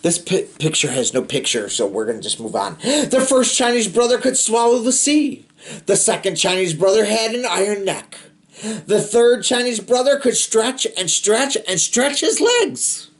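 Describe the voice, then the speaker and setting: A man's voice: high voice, animated, unhurried. A man hosting a children's read-aloud, telling a picture-book story expressively with playful, chatty asides to young listeners. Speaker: storybook reader, host of a read-aloud show.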